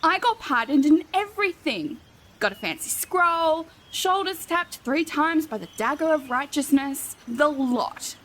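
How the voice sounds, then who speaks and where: Seeking an actress for a put-upon female-voiced rogue, high and unhurried, sarcastic grumbler, fantasy audio comedy. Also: animated, fairly bright tone. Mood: indignant. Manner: sardonic, exasperated complaining.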